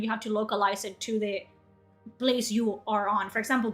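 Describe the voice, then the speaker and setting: A young woman from Spain, a native Spanish speaker, chatting in a rambling way on her livestream and answering chat. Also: fairly high pitch. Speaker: livestream host.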